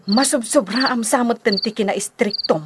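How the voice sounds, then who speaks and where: A woman's voice: fairly high pitch, normal speed, background noise, close to an everyday voice. An actress playing a concerned wife and mother in a radio drama. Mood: reproachful.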